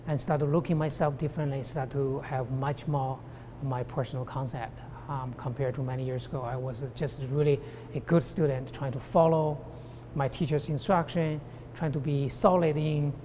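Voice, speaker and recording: A woman with a Chinese accent speaks in a very low, mellow voice over faint noise. She searches for her words as she reflects in an interview. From China. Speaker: artist. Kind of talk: personal documentary interview.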